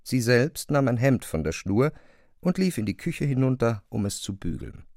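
A man narrating a literary radio drama in a measured, articulate and expressive way. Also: mid-range voice, no echo, clean studio sound.

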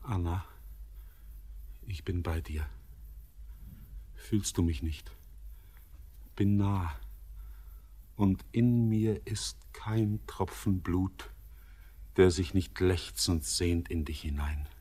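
An actor playing Elis, a young miner who is dreamy and ardent, with a husky voice. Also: male voice, deep voice, drawn-out words, long pauses, mellow, heavily acted. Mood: yearning. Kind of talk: classic radio play acting.

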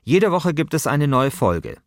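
A man's voice: a little high, sing-song, measured pace, dry recording, studio quality. A man, narrating a podcast from a script as its host.

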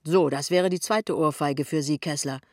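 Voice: mid-range voice, moderate pace, no room sound, clean studio sound, lightly acted. A narrator voicing an indignant male office colleague in an audiobook, firm, reproving, clipped and authoritative. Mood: angry. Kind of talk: audiobook character dialogue.

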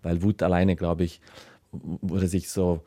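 A man's voice: low voice, level tone, slow, warm tone, dry recording, clean studio sound. A man giving unscripted interview answers as a writer, hesitant and searching for his words. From former Yugoslavia. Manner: hesitant, searching, hedging phrases.

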